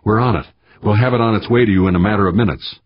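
A man's voice: fairly low pitch, rapid-fire, breathy edge, no echo, highs cut off. A man voicing a testy, impatient woman spaceship skipper who barks and scolds in audiobook dialogue. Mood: tense, placating. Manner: sharp, barking, scolding.

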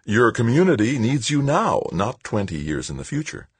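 A falsely cheerful middle-aged woman official from an audiobook, acted out by a man. The voice is lifted high and husky, lilting in a sing-song. The manner is sugary, pious and condescending, as if addressing a small child. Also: wildly swooping pitch, moderate pace, a little mellow, no room sound, full character voice. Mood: patronizing. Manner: sing-song, condescending schoolteacher tone, syrupy sweet. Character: plump fortyish woman bureaucrat, falsely cheerful, patronizing, pious. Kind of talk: audiobook character dialogue.

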